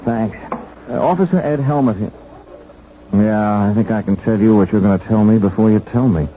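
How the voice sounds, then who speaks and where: An actor playing a dogged, plain-spoken police officer in 1970s radio drama style, colloquial and insistent. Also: male voice, lowish voice, moderate pace, natural voice. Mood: puzzled, determined. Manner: colloquial, hesitant openers, insistent. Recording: noisy, dull 1970s radio broadcast.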